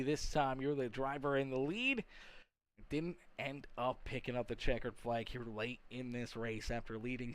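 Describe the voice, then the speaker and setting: A man works as the host of a live motorsport broadcast. He talks at length in a chummy announcer's manner, with a mid-pitched voice, moving between commentary and post-race interview questions. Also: slight background noise.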